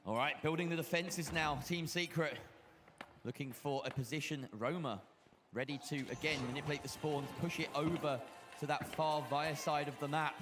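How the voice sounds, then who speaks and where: A man giving live play-by-play commentary on an esports match, building to loud calls at the big plays. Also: on the high side, steady tone, music in the background. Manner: fast, rising to loud shouts at big plays. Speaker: esports caster.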